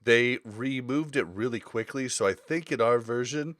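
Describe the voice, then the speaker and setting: A man's voice: mid-range voice, moderate pace, fairly bright tone, dry recording, studio quality. A man hosting a casual gaming podcast, explaining things in an informal, rambling way. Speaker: podcast host.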